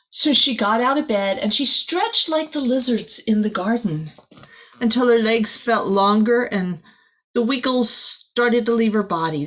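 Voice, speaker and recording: A woman with a fairly high voice reads a picture book aloud for a young child. Her delivery is expressive, and she slips in small chatty asides as she goes. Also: low-quality recording. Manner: expressive, addressing a young listener. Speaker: storybook reader.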